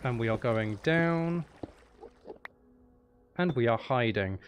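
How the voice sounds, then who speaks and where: A man streams a video game with casual live commentary. His pitch moves around a lot, giving him an animated, playfully exasperated delivery. There is clear noise behind his voice. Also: takes it slowly. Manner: animated, exclaiming, playfully complaining.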